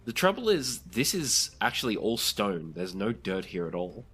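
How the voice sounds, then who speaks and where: A man with a fairly low voice serves as game master for a tabletop roleplaying session. He narrates the scene and gives rulings to the players. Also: very animated, moderate pace, a bit bright, husky.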